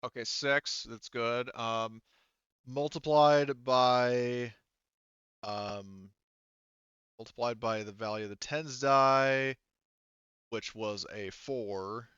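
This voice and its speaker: A man's voice: medium pitch, steady tone, slow pace, pauses often, fairly bright tone, clear, resonant tone, no echo. A man acting as game master, casually refereeing a tabletop game and thinking aloud in a hesitant way. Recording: band-limited, dull dry audio.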